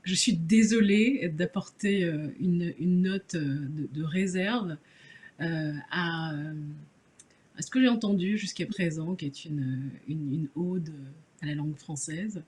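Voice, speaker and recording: A woman's voice: fairly low pitch, slow pace, darkish tone. A woman from Nigeria, English-speaking from birth, speaking as a journalist on a conference panel, hesitant with many fillers and restarts. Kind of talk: panel discussion.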